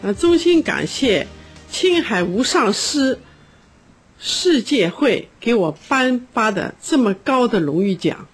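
A schoolteacher speaking earnestly in an interview, often pausing with hesitations. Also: female voice, a little high, big pitch swings, soft background music. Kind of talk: interview testimony.

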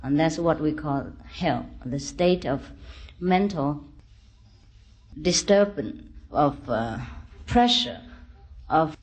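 Slow-speaking woman giving a spiritual discourse. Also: low voice, expressive, poor audio. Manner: deliberate, halting phrasing with gentle reassurance. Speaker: spiritual teacher.

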